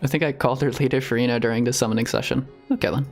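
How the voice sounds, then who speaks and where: A man's voice: medium pitch, fairly even intonation, rapid-fire, over loud music. A man giving casual live game commentary as a streamer, chatty and musing.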